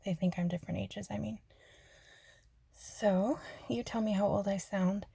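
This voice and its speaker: A woman from Arizona chatting softly and rambling in a half-whispered ASMR style, joking about herself. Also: medium pitch, steady tone, measured pace, vocal fry, slightly rough, no echo. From Arizona, USA. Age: adult. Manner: soft, half-whispered, self-deprecating, giggly. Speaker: ASMR creator. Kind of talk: soft-spoken rambling ASMR chat.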